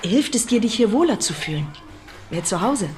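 A woman's voice: mid-range voice, very animated, moderate pace, a little mellow, slight background noise, close to an everyday voice. A woman playing a patient, encouraging primary school teacher in a radio drama. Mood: reassuring. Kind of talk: German radio drama acting.

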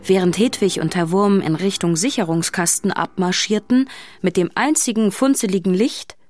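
A woman's voice: medium pitch, moderate pace, darkish tone, soft background music, close to an everyday voice. A woman narrating a scripted children's audio drama as storyteller.

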